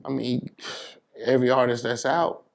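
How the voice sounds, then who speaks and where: A man's voice: medium pitch, fairly even intonation, moderate pace, creaky. A man from New York City speaking as a DJ in a casual interview, giving emphatic advice in informal, slangy talk. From New York City, United States. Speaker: DJ being interviewed.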